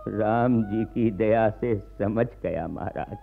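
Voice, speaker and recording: An actor playing a helpless old peasant farmer in a radio play, pleading haltingly with bitter resignation. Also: male voice, lowish voice, vocal fry. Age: older. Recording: muffled radio archive recording with music.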